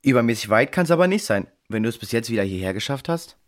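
A man's voice: mid-range voice, big pitch swings, fast talker, dry recording, close to an everyday voice. Man playing an alert boy detective, dry close sound. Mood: inquisitive. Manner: inquisitive, reasoning aloud. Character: alert, puzzle-solving boy in an adventure crew. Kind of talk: children's audio drama acting.

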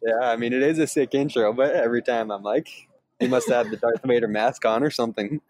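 An upbeat, energetic man co-hosts a casual chat and explains his trade with easy enthusiasm. His pitch sits in the middle range. The recording is dry and close, with no echo. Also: fairly quick. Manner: upbeat, energetic, laughs easily. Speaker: podcast co-host, sales trainer. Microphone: remote call, each on own mic.

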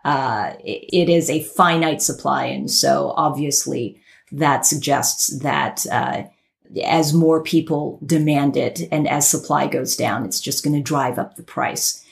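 A woman hosting an interview, setting up her questions in a measured way with some hesitations. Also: low voice, gravelly. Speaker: interview host.

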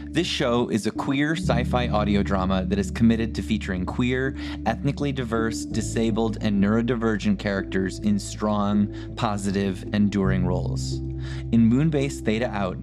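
A man's voice: on the low side, normal speed. A man hosting a podcast, reading a scripted introduction in an earnest, emphatic, recommending manner. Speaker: podcast host. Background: plucked guitar music.

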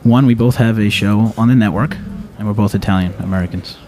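A man's voice: lowish voice, brisk, mellow. A man hosting a radio talk show in a casual, conversational style.

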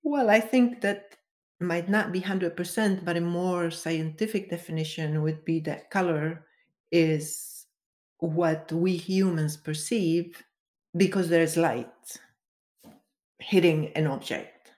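A woman's voice: fairly low pitch, fairly even intonation, slow, darkish tone. A woman from Venezuela, a guest giving careful, step-by-step explanations in an interview. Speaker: guest, color expert.

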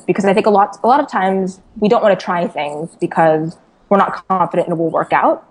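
A woman's voice: lowish voice, a little mellow, low-quality recording. A woman from California, a journalist guest in an interview, answering thoughtfully. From California, USA. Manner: thoughtful, some "uh" and restarts.

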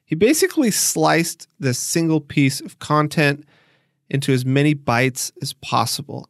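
A man hosting a podcast, narrating and discussing in a clear explanatory podcast style. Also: fairly high pitch, no room sound, studio quality. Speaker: podcast host, interviewer. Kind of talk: scripted podcast narration with conversational interview.

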